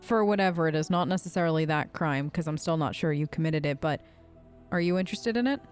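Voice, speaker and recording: A woman's voice: low-pitched, fast, a little mellow, clear, resonant tone, faint music behind. A woman hosting a gaming video, role-playing her side of the dialogue in a chatty, wry, informal way. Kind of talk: let's play role-play, casual in-character dialogue.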